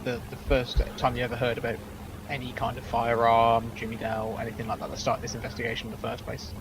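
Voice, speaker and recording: A man playing a lawyer in a roleplay courtroom, cross-examining a witness with quick, probing questions. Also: mid-range voice, monotone, noisy background.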